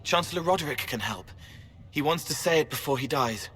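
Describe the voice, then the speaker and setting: A man's voice: fairly high pitch, expressive, a little fast, fairly bright tone. An actor playing a strange, earnest young man in a fantasy game, speaking in blunt, clipped, matter-of-fact phrases. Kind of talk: fantasy video game acting.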